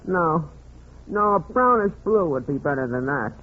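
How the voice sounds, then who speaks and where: High-voiced meek henpecked husband. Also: male voice, expressive, normal speed, breathy edge. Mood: meek, wistful. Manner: hesitant, plaintive, submissive. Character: meek henpecked little husband, reluctant and wistful. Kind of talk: 1940s radio comedy acting. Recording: noisy, muffled 1940s radio recording.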